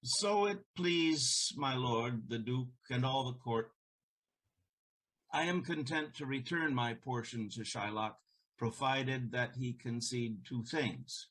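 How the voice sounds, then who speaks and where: Man playing a solemn old merchant, very slow, mid-pitched, formal, Shakespearean audio drama. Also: a bit bright, low-quality recording. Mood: solemn, measured. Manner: measured, formal. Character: Antonio, solemn elderly gentleman merchant.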